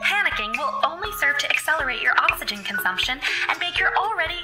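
An actress playing a polite, unflappably cheerful space station computer, formal and deadpan, reading out a manual in a radio drama. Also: female voice, sits high, over loud music. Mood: serene, deadpan. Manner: measured, formal, deadpan reading of grim advice.

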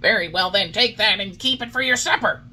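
Man acting a jeering schoolboy bully, animated. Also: on the high side, moderate pace, a little hiss or hum, voice pushed up. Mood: threatening.

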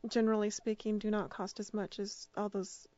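A woman's voice: medium pitch, level tone, normal speed, mellow, dry recording, muffled recording. A woman speaking as a radio drama producer and director, giving casual answers in an interview.

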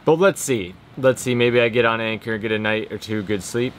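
A man in his thirties, sailing alone and talking casually to a handheld camera in a small boat cabin, relaxed and self-mocking. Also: fairly low pitch, moderate pace, a little hiss or hum. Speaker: vlogger sailing solo. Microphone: handheld camera at arm's length.

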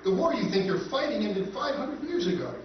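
A man playing a quick-witted stranded soldier who schemes with his partner, in a live stage recreation of an old-time radio drama. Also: sits high, a little hiss or hum. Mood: scheming. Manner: wry, scheming, lively. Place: hotel function room stage with audience. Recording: echoey hall from far off, dull.

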